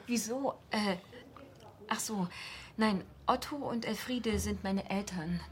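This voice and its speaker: A woman's voice: mid-range voice, slow pace, natural voice. An actress playing a nervous, earnest young provincial woman in a radio drama, hesitant and stammering. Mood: earnest, flustered. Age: young adult. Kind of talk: German radio drama dialogue.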